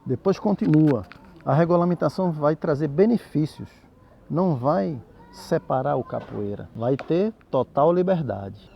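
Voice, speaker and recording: A man's voice: fairly high pitch, lively intonation, unhurried, vocal fry, muffled recording, a little hiss or hum. A middle-aged Brazilian man with a Brazilian Portuguese accent, a capoeira master giving a reflective, argumentative commentary that keeps seeking agreement. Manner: reflective, argumentative, with frequent "né?" tags. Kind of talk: spoken commentary to camera.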